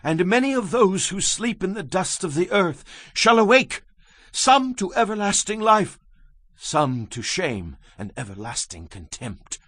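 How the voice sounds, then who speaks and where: A man's voice: high voice, very animated, a little slow, fairly bright tone, dry recording, studio quality, exaggerated voice. A man performing a solemn prophet recounting apocalyptic visions in a theatrical, emphatic scripture reading. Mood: grave, awed. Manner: solemn, emphatic, theatrical delivery with dramatic swells and drops. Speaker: dramatized audiobook Bible reader.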